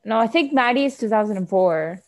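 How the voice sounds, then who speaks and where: A woman's voice: medium pitch, animated. A teenage girl in playful, bubbly chat with a friend. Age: teens. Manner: bubbly, fast back-and-forth, playful. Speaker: co-host. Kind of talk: casual chat.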